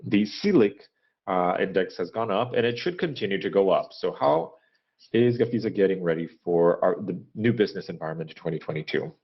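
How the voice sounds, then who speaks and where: Guy with kinda deep voice doing an earnings call, halting businesslike delivery, muffled lo-fi audio. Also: animated, slightly rough. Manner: halting, measured business delivery with frequent hesitations. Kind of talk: corporate earnings call presentation and question answering, unscripted. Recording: dull, lo-fi conference call audio.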